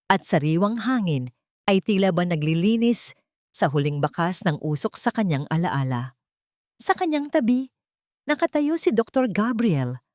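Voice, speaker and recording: A woman with a Filipino accent reads a scripted dramatic story as narrator, in a measured, solemn and sentimental delivery. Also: mid-range voice, dry recording, clean studio sound.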